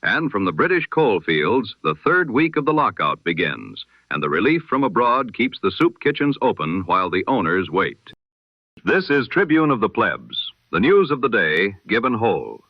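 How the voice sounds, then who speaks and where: A man with a fairly low voice presents the news like a 1920s radio bulletin. His delivery is formal and measured, yet widely varied in pitch. The sound is close and dry, slightly dulled like a radio broadcast. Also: sing-song, no room sound, dull audio.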